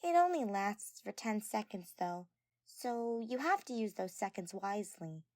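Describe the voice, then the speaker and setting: A woman voicing a small, shy teenage girl mage in an audio story, low-key, hesitant and solemn about magic. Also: animated, measured pace, darkish tone, dry recording, lightly acted.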